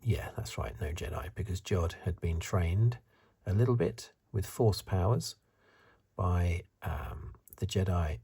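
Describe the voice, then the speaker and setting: A man giving soft-spoken, half-whispered ASMR commentary in a husky, breathy voice, musing and rambling as he goes. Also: low-pitched, a little slow. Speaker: ASMR reviewer.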